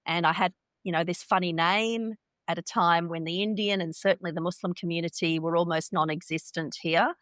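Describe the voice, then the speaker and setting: A woman in her fifties from Australia, whose first language is Hindi, tells her life story in an interview in a reflective, measured way. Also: fairly low pitch, steady tone, a bit bright, dry recording, clean studio sound. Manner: reflective, measured, with occasional repeated words. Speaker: guest.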